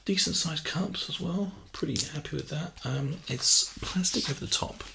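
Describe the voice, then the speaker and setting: A man doing a casual, reassuring ASMR sales role play, speaking softly and chattily into a close binaural microphone. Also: on the high side, normal speed, poor audio. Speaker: ASMR performer playing a personal shopper. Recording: close binaural mic, clear background hiss.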